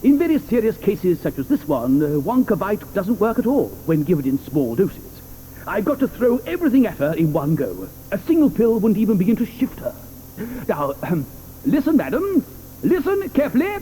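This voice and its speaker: An eccentric chocolatier: grandiloquent and fussy, with a high, very animated voice. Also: male voice, normal speed, a little hiss or hum, poor audio. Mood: pompous. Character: eccentric, fussy, flamboyant adult male chocolatier. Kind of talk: 1980s studio radio play acting.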